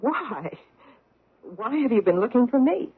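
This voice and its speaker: An actress playing a guarded, proper married society woman with an American accent, brisk and defensive in a radio mystery drama. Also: on the high side, expressive, breathy edge. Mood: uneasy, guarded. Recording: muffled narrow-band old radio broadcast.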